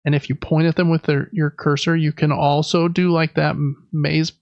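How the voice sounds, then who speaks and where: Higher-pitched man, creaky, enthusiastic podcast chatter. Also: flat delivery, moderate pace, darkish tone, dry recording, highs cut off. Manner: rambling, enthusiastic, informal. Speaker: podcast co-host. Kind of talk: casual chat about video games.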